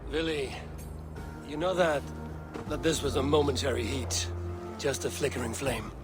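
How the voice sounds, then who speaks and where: An actor playing a stoic but tender Viking warrior with a grave, restrained delivery in a dramatic video game. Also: male voice, medium pitch, steady tone, moderate pace, lightly acted. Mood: gently firm. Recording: loud music over the voice.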